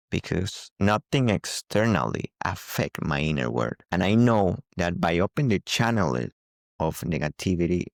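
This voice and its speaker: A man's voice: deep voice, gravelly, no room sound, clean studio sound. A man giving an earnest, instructive spiritual talk as a teacher, often repeating phrases for emphasis.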